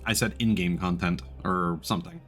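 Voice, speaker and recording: Low-pitched male streamer, casual gameplay commentary, chatty, music playing behind. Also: fairly even intonation, normal speed, a bit bright. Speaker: live streamer playing a video game.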